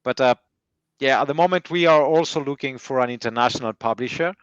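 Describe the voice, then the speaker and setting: A man with an Italian accent, answering interview questions slowly with many hesitant fillers. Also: mid-range voice, clean tone, dry recording, dull audio. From Italy. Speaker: guest timber engineer.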